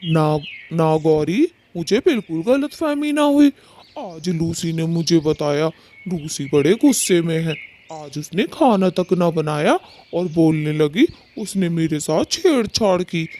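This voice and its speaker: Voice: medium pitch, animated, takes it slowly, dark tone, slight background noise. A performer voicing a male cartoon bird with a theatrical, complaining and pleading way of talking. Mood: aggrieved. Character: dramatic male cartoon bird, husband or neighbour, plaintive. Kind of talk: Hindi children's cartoon story dialogue.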